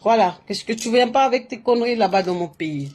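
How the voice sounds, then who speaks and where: A woman's voice: a little high, lively intonation, fairly quick, a little mellow. A woman from Latin America speaking French in an emphatic, repetitive way on a live stream, full of restarts and fillers, giving spiritual advice. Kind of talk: casual live-stream monologue.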